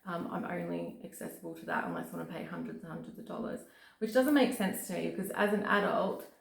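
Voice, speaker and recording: A woman hosts a loose, casual chat, her croaky voice sitting a little low and turning gravelly. She rambles from thought to thought with plenty of fillers. The room around her is echoey, with a faint hiss. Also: wildly swooping pitch, fast talker. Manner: chatty, rambling with many fillers. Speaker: host.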